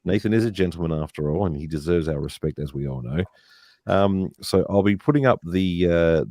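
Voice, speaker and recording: Low-voiced mellow male stream host. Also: no echo, clean studio sound. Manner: chatty, says "mate" and "uh". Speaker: livestream host. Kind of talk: hosting a live stream.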